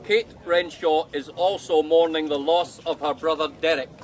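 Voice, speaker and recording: A man's voice: on the high side, steady tone, moderate pace, background noise, natural voice. An actor playing a politician and father giving a formal speech at a vigil in a radio drama. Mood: solemn, formal. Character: smooth male politician and father addressing a vigil.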